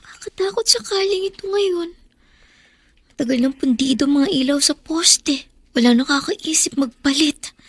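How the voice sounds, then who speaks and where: An anxious young student, played by a woman, thinking aloud in a radio drama. The voice is high, recorded dry without room sound. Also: normal speed, dry recording, close to an everyday voice. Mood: worried.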